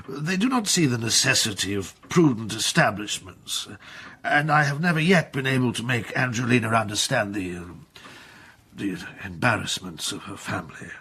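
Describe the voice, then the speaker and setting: A blustering old lord in a period radio play. His voice is very hoarse and gravelly with a forceful, bright edge. He is pompous and effusive, hesitating for effect. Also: lowish voice, sing-song, unhurried, full character voice. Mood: cautious, delicate. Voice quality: husky, gravelly. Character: blustering, wily older aristocrat, an impecunious earl and father. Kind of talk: period radio drama acting.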